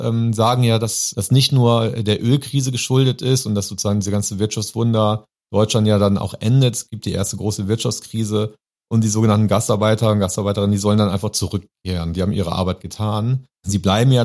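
Low-pitched man hosting a discussion. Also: fairly even intonation, fairly quick, a little mellow. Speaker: podcast host and interviewer. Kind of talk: political discussion, long reflective questions.

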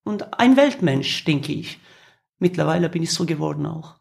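Kurdish-accented woman of fifty, halting phrasing. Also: low voice, expressive, gravelly, heavy background noise. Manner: halting, searching phrasing in non-native German. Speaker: interviewed musician. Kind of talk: reflective interview answers.

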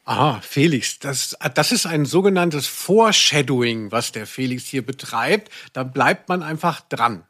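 A man's voice: medium pitch, animated, a little slow, few pauses, bright, forceful tone. A man hosting a humorous podcast, talking in a wordy, mock-serious way. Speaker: podcast host.

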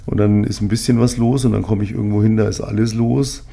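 A man in his fifties from Munich, chats through a solo podcast in a loose, rambling way. His voice sits low and dark, with a husky, gravelly edge. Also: fast. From Munich, Germany. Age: 50s. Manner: rambling, frequent fillers, relaxed. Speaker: podcast host, agency owner. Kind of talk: casual solo podcast monologue.

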